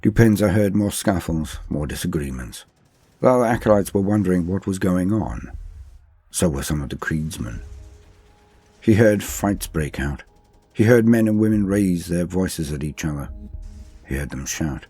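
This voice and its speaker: Very deep-voiced man, grave dramatic narrator. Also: lively intonation, dark tone, breathy edge, no room sound, faint music behind. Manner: grave, theatrical, deliberate phrasing. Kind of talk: dramatic audiobook-style story reading.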